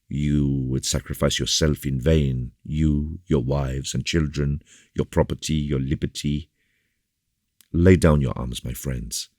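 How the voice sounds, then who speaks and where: Man acting very deep, solemn bishop, commanding. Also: no echo, studio quality. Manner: grave, measured rhetoric with repeated appeals. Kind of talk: audiobook character dialogue.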